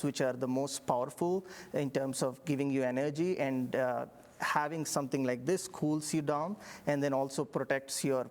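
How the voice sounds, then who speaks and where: A middle-aged man from India with an Indian accent, a professor recounting his path in a relaxed interview, reflective, with many fillers and restarts. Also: mid-range voice. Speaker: engineering professor. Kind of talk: interview storytelling.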